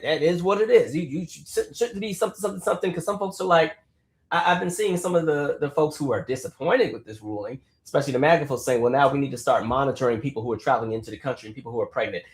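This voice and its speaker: A young adult man talks quickly and emphatically in a slightly high voice. He often repeats words and restarts as he riffs through casual commentary. The room around him sounds roomy and echoey. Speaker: political commentary host.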